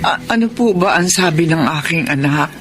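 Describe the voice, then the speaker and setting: A woman's voice: sits low, animated, moderate pace, fairly bright tone. A woman with a Filipino accent playing a humble, anxious older mother in a radio drama. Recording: faint background noise and ambience.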